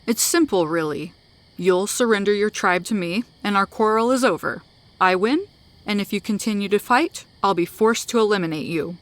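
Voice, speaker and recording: A woman's voice: mid-range voice, moderate pace, no echo, close to an everyday voice. A woman voicing a smug, grudging male tribe leader and rival brother in a sci-fi story, matter-of-fact and emphatic. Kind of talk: dramatised sci-fi story reading.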